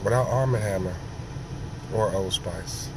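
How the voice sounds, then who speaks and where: A man with a sore throat and a raspy, hoarse voice, talking slowly in a rambling casual monologue, often clearing his throat. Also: fairly low pitch, noisy background. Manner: rambling, slow, many ums and throat clearing. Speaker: vlogger.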